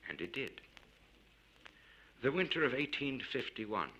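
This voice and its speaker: Bright, expressive male story narrator. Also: mid-range voice, breathy edge, lo-fi sound. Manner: measured, expressive, theatrical delivery. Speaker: audiobook narrator. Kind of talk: dramatic literary story reading. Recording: vintage spoken-word LP record.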